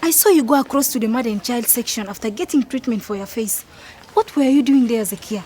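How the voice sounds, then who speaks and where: A woman's voice: on the high side, fast, warm tone, lightly acted. A woman playing a thoughtful, unsure young wife in a radio drama, telling her story in a reflective, sincere way. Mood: surprised. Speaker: actor narrating and playing the main character. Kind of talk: first-person radio drama narration and dialogue.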